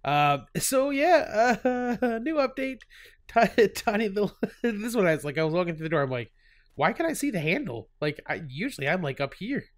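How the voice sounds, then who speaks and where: A man's voice: sits high, very animated, normal speed, no room sound. A man with a stuffy cold hosting his gaming channel, chatting casually over gameplay, laughing often and full of fillers and restarts.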